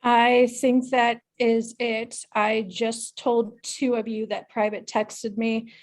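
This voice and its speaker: A woman's voice: fairly high pitch, flat delivery, takes it slowly. A woman giving casual meeting announcements as a coordinator, in a matter-of-fact, explanatory way. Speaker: production coordinator making announcements. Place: online video call.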